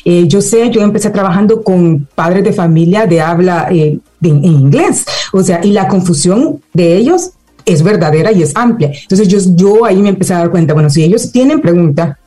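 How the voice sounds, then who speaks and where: A woman with a Salvadoran accent giving conversational interview answers as a guest, hesitant with frequent pauses. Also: fairly low pitch, expressive, mellow, soft background music. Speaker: interview guest, education nonprofit manager.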